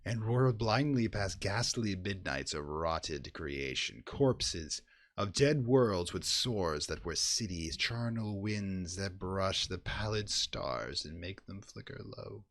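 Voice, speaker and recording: Man, low-pitched voice, theatrical horror narration, brooding, intense, hushed to loud swells, radio play reading. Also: expressive, slow. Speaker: reader of a horror story.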